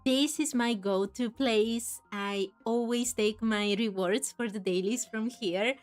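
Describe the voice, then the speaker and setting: A woman's voice: a little high, slow pace. A woman giving casual commentary as she plays through a game, chatty and musing aloud, with game music behind. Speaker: gaming streamer. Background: faint game music.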